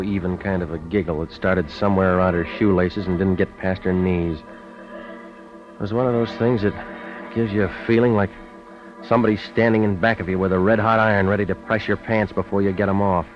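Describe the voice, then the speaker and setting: Guy doing old radio american detective, deep voice, terse and wry, muffled lo-fi. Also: lightly acted. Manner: terse, wisecracking, laconic. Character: hard-boiled, wry American private eye. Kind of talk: 1940s radio noir dialogue and narration. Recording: dull vintage radio recording with music.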